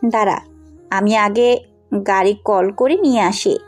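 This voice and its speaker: A woman's voice: medium pitch, measured pace, close to an everyday voice. A woman acting a headstrong, compassionate young woman in an audio story, speaking bluntly and insistently. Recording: music under the voice.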